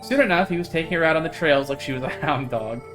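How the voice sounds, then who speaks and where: A man's voice: a little high, fast talker, over music, close to an everyday voice. A man voicing a chatty, friendly small-town woman, reading a visual novel character in a folksy, rambling manner.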